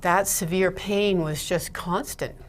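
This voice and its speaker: A woman's voice: on the low side, fairly even intonation, slow, a little hiss or hum. A middle-aged woman from Ontario, Canada, a guest in an interview, talking casually and laughing between her thoughts. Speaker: guest, Mitzvah Technique teacher.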